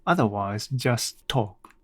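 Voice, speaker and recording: A man's voice: on the low side, animated, slow, husky. A man hosting a solo podcast, reflecting unscripted in simple phrasing and searching for his words. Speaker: podcast host.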